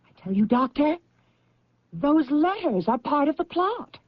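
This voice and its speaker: A high, animated woman's voice plays a career woman who feels plotted against. She is anxious and insistent, often halting, in radio drama manner. The sound is dry and dull, like an old broadcast. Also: dry recording. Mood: defensive, upset. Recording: dull, band-limited old radio recording.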